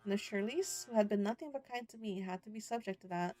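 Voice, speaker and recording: A woman's voice: medium pitch, fast talker, a little mellow, soft background music, lightly acted. Woman reading as a wry, glum young country lad. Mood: wry, wary. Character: earnest, glum youngest brother, rural visual novel hero. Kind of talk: first-person visual novel narration and dialogue.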